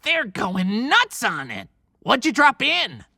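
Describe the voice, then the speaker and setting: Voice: medium pitch, wildly swooping pitch, slow, vocal fry, pushed and bright, dry recording, clean studio sound, exaggerated voice. An actor playing a cocky, tech-savvy sidekick creature with a snide, dismissive way of talking in a cinematic audiobook.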